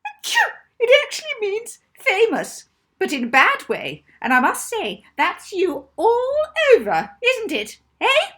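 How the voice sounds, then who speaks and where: A woman voicing a cruel, scolding woman schoolteacher in a children's story, with a squealy, squeaky, scornful delivery. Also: huge pitch swings, pushed and bright, full character voice. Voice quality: squealy, squeaky, high-pitched. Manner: scornful, scolding, drawn-out jabs. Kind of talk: children's audiobook character voice.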